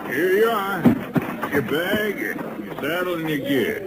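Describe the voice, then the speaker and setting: Chuckly country townsman, old radio western, bouncy pitch, loud music behind. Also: animated, measured pace, poor audio. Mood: amused warning. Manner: rustic drawling grammar, chuckly. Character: folksy, chuckling Old West townsman, amused and neighborly. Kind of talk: 1950s radio western acting.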